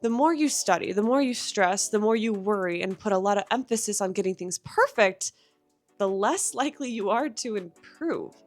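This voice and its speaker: A woman's voice: mid-range voice, lively intonation, normal speed, faint music behind. A young adult woman who teaches English, giving encouraging, direct language-learning advice in a scripted lesson.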